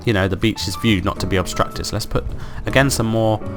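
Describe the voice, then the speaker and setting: A man with a croaky voice from being ill, hosting casual gameplay commentary and thinking aloud in an upbeat, rambling way. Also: fairly low pitch, brisk, over music. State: croaky from illness. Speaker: let's play host.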